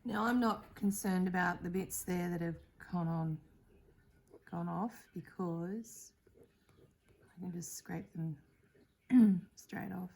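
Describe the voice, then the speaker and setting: A woman with a croaky voice hosting a casual painting tutorial, chatting and thinking aloud as she works. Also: on the low side, slow, pauses often, a little mellow, rough recording. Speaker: art tutorial host.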